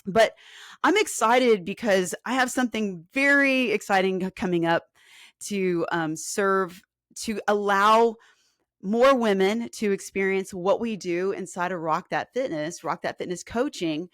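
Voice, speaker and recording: A woman in her forties, a fitness coach, talking casually on a live stream with a hoarse, scratchy voice and lots of ums. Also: medium pitch, a little slow, fairly bright tone, no room sound, studio quality. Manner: chatty and earnest, with filler ums and restarts. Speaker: fitness coach and podcast host.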